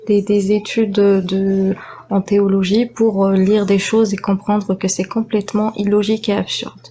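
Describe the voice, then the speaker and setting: A woman's voice: mid-range voice, dark tone, slight background noise. A woman on a live online voice call giving earnest feedback, explaining her point at length with fillers. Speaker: debate panelist giving feedback. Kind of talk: casual live-stream discussion.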